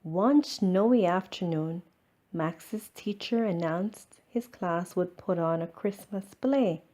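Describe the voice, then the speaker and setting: A woman reading a children's storybook aloud as scripted narration, expressive and drawing out words. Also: lowish voice, slow pace. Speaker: storybook reader.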